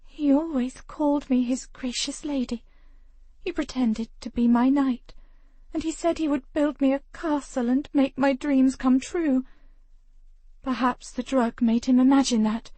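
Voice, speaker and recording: A woman's voice: high-pitched, fairly even intonation, a little slow, warm tone, no room sound, pitched above the performer's own voice. A woman voicing a grieving young noblewoman, her speech quavering and tearful. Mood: wistful.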